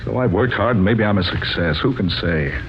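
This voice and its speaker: An actor playing an earnest, troubled writer in 1940s radio drama, measured, sombre and reflective. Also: male voice, on the low side, lively intonation. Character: earnest, troubled male pulp writer telling his story. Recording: muffled, noisy 1940s radio recording.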